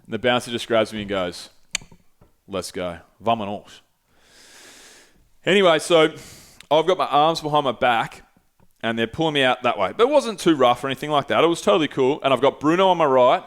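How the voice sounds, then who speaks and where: A man's voice: medium pitch, animated, fast. A man in his thirties hosting a podcast, telling a story animatedly with lots of swearing. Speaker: podcast host. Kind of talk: casual storytelling. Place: small podcast studio. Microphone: headset with desk mic.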